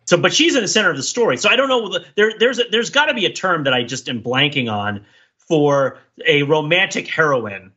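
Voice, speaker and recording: A man's voice: on the high side, expressive, brisk, bright, forceful tone, husky, dull audio. A man co-hosting a podcast, chatting casually and analytically in long, discursive, layered arguments. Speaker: podcast co-host. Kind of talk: casual analytical panel chat about TV.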